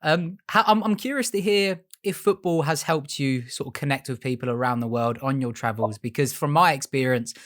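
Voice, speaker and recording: A man hosting a casual podcast, his voice at an ordinary middle pitch. He sounds relaxed and agreeable, easing into his questions with "um"s and small restarts. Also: normal speed, few pauses. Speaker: podcast host. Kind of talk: casual interview chat.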